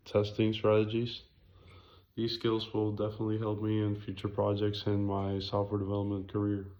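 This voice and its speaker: Young man, raspy low monotone, hesitant presenter. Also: muffled recording. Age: young adult. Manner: hesitant, halting, self-correcting. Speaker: student presenter. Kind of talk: informal project presentation.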